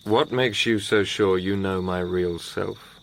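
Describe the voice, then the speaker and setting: Proud young gent, lowish voice, sceptical and easily hurt, radio play, bit of night insect noise. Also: male voice, steady tone, slow, gravelly, a bit bright, heavily acted. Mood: wounded, indignant. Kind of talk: radio drama dialogue and first-person narration.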